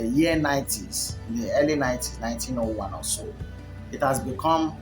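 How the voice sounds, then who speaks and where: A man's voice: on the high side, low-quality recording. A man, a Yoruba speaker, delivering a rambling, preachy monologue full of fillers and restarts. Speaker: commentator. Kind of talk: unscripted monologue to camera.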